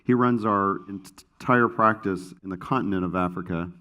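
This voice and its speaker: An American man moderates a panel on a conference stage, his voice very low. He talks loosely, restarting sentences and filling gaps with "uh" and "you know". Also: moderate pace, sharp tone. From United States. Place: conference stage before an audience.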